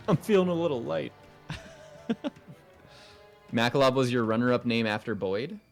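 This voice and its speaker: Animated man chatting on a game stream. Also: mid-range voice, slow, gravelly, soft background music. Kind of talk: casual chat during a live stream.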